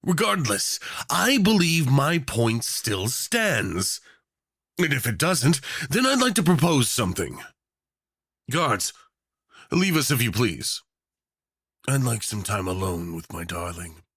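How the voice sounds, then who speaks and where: A man performs a smug yandere tyrant in an audio roleplay, all menacing affection. His voice is husky and close in a dry space, swooping theatrically as he lingers over mock-sweet endearments. He takes his time, savoring every threat. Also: medium pitch, big pitch swings, slow pace, fairly bright tone, no echo, full character voice. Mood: possessive affection. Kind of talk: ASMR roleplay acting.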